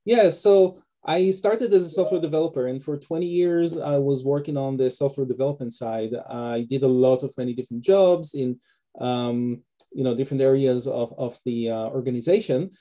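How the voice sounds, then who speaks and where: Dark-voiced Israeli man, halting speech. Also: mid-range voice. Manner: hesitant, many fillers and restarts. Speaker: guest author. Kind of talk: casual interview talk. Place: home office.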